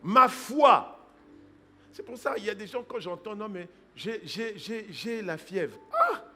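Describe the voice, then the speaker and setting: A man preaching a sermon as pastor. He swings from hushed asides to loud exhortation, acts out people's excuses in quoted voices and breaks into praying in tongues. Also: high-pitched, wildly swooping pitch, a little slow, over music.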